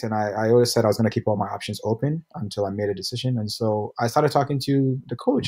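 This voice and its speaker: A young man from Ghana talks over a video call as a podcast guest. His voice sits fairly low and he tells his story in a relaxed, rambling way, restarting phrases as he goes. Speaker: guest, pro soccer player. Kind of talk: casual interview answers.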